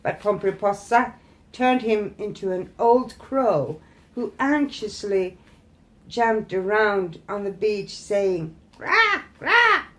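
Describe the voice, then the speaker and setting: Female fairytale narrator, slow, expressive, deliberate, mid-range pitch. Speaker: storyteller, host.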